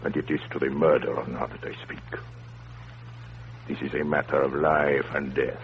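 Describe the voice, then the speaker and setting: An actor playing a courteous, commanding, self-important detective in 1930s radio drama, with pompous, formal, deliberate delivery. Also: male voice, a bass voice, flat delivery, vocal fry. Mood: grave warning. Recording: tinny, noisy, humming 1930s radio broadcast.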